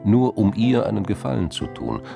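A man's voice: on the low side, big pitch swings, moderate pace, gravelly. A man narrating a crime drama in scripted, literary prose. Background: music.

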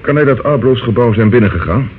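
An actor playing a determined police detective in a radio play, matter-of-fact and questioning. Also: male voice, medium pitch, lively intonation, quick pace, lightly acted. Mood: probing. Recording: muffled, noisy old radio recording.

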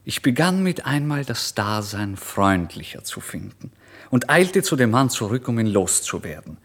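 A man's voice: medium pitch, sing-song, moderate pace. An actor playing an impoverished, irritable and impulsive male writer, telling his story in a theatrical, emphatic radio monologue. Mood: eager, brightening. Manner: theatrical, emphatic, swinging between hushed and loud. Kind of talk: literary first-person radio monologue, dramatised narration.

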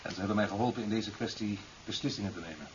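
A man's voice: on the low side, racing, close to an everyday voice. Man acting a stern president, dull hissy recording. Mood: stern, impatient. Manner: curt, formal, reproachful. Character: stern, impatient male president, cutting and authoritative. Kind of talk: 1970s Dutch radio drama acting.

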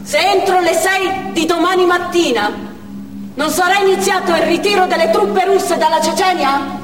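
A woman's voice: very high voice, normal speed. An actress playing a stern Chechen woman hostage-taker, narrating in a dramatic stage monologue. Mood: hard, declamatory. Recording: echoey theatre hall, far mic, noisy.

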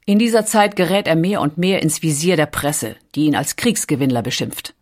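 A woman's voice: sits low, normal speed, darkish tone. A woman reading scripted documentary narration in a composed, carefully articulated storytelling manner.

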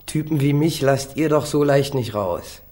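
A man's voice: a little high, unhurried, darkish tone, lightly acted. A man acting a defiant, street-tough young small-time crook in a German radio crime drama, flippant and slangy. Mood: defiant, irritated. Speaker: actor playing a defendant.

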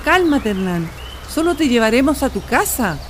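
A woman voicing an older wife caring for her husband, gentle and coaxing, in a community radio drama. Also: sits high, sing-song, moderate pace, noisy background. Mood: tender.

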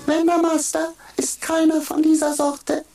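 An actress voicing a naive, childlike precious being that asks slow, earnest questions in a radio play, with music behind her. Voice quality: very high, thin, flat childlike voice.

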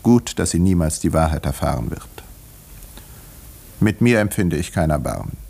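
An actor playing an urbane, cynical doctor and self-satisfied murderer, speaking with smug, reflective irony in a 1950s German radio drama. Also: male voice, rumbling low, very animated, normal speed, creaky, warm tone, slightly rough, full character voice.

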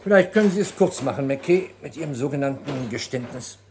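An actor playing a brisk, sceptical public prosecutor in a German radio crime play, official and impatient. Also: male voice, mid-range voice, lively intonation, noisy background, natural voice. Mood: brisk, commanding. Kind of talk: 1950s to 80s German radio crime drama acting.

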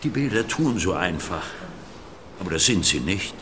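A man's voice: low-pitched, sing-song, moderate pace, noisy background. An actor playing an earnest, wistful art historian in a radio drama, speaking hesitantly and repeating his words. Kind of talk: conversational radio drama acting.

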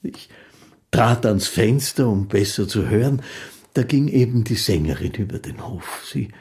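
A low, creaky, mellow male voice of a shy music lover in a literary radio play. He tells his story earnestly and hesitantly, drifting into digressions in formal, old-fashioned language. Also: expressive, unhurried. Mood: wistful, rambling. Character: gentle, shy, rambling amateur fiddler in love with music. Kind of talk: first-person literary radio drama acting.

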